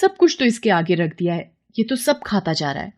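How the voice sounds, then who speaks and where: A woman's voice: fairly low pitch, very animated, fairly quick. A woman voicing a stingy, complaining and easily exasperated housewife in a devotional storybook tale. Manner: complaining, scheming.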